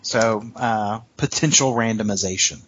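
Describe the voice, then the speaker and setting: A man's voice: on the low side, measured pace, a bit bright, husky, highs cut off. A man as game master, casually questioning a player and explaining rules in an agreeable, tentative way. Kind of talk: casual interview-like chat about tabletop gaming.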